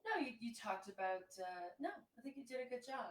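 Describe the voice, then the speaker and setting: A woman relaying song requests in casual, somewhat halting chat, heard from away from the microphone in a roomy space. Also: a little high, expressive. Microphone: distant from the microphone. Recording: roomy, far from mic, faint noise.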